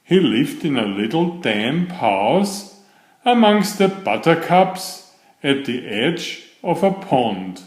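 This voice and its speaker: Slow, lively male storyteller in a roomy space. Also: on the high side. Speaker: storyteller reading children's picture books. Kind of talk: scripted children's story narration. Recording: roomy echo on the voice.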